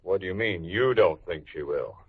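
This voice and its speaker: An actor playing a bossy older banker and husband in a vintage radio comedy, pompous and blustering. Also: male voice, low-pitched, expressive, moderate pace, slightly rough, heavily acted. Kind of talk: 1940s-50s radio sitcom acting. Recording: muffled old radio recording, faint hiss.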